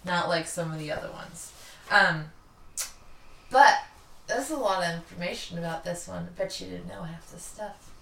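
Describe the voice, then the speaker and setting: A woman's voice: lowish voice, moderate pace, creaky, long pauses, fairly bright tone. A woman co-hosting a podcast in casual, chatty storytelling, informal and jokey. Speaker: podcast co-host. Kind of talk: casual chatty storytelling about local history. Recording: roomy echo, distant from mic.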